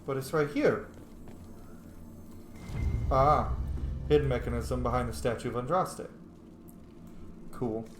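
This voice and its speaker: A man's voice: mid-range voice, slow pace, long pauses, slight background noise. Roomy-sounding man giving casual game commentary. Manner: informal, enthusiastic, sweary. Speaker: game streamer. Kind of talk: casual playthrough commentary with in-character asides.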